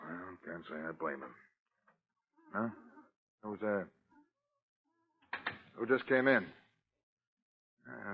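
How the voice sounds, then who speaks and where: An actor playing a dutiful, earnest prison warden with a formal, deliberate manner in 1940s radio drama style. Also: male voice, sits low, husky, exaggerated voice. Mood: nervous. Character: dutiful, earnest male prison warden, jumpy but firm. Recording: muffled old radio recording.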